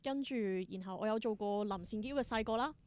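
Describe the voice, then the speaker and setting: A woman's voice: a little high, quick pace, a little mellow. Chatty Hong Kong Cantonese woman, enthusiastic guest. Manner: enthusiastic storyteller, mixes in English words. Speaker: interview guest, independent theatre performer. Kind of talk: casual interview chat.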